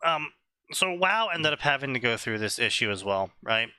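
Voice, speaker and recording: A man co-hosting a casual stream, talking slowly and deliberately, often checking "right?". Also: mid-range voice, big pitch swings, bright, forceful tone, clear, resonant tone, no echo. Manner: explanatory, slow and deliberate, asks "right?" often. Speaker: stream co-host.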